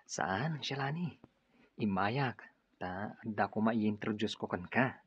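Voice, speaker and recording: A man with an Ilocano accent playing a smooth-talking, persuasive stranger in a radio drama. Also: fairly low pitch, slow pace, fairly bright tone, dry recording, exaggerated voice. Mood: courteous, flattering. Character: Edgar, smooth-talking male stranger, persuasive recruiter for a group. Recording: dull lo-fi radio drama recording.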